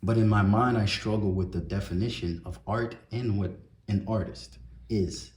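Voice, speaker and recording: A young adult man hosting a casual interview, relaxed and reflective, with many fillers. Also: lowish voice, moderate pace, reverberant. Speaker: interview host, photographer.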